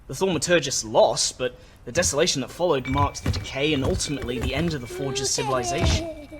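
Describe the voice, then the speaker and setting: A man's voice: on the high side, very animated, fast, noisy background. An actor playing a nervous, bookish young male scholar in a video game, speaking in a hesitant, earnest, stammering way. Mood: explaining. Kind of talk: video game cutscene acting.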